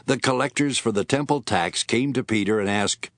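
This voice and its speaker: A man's voice: medium pitch, moderate pace, a bit bright, no room sound. A man giving scripted narration of scripture as the narrator of an audio drama. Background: music.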